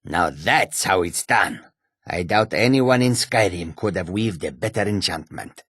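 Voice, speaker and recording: A male actor playing a courteous, sly humanoid cat trader in a fantasy video game, with a gravelly, husky voice and measured, formal speech. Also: deep voice, expressive, fairly bright tone, no room sound, clean studio sound, exaggerated voice. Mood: thoughtful. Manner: measured, formal, refers to himself in third person.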